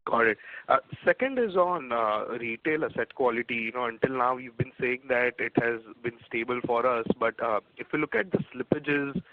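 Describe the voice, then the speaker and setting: A man's voice: mid-range voice, normal speed, slight background noise. A man with an Indian accent asking questions as an analyst on an earnings call, over a phone line. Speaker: analyst caller. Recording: narrow tinny phone line.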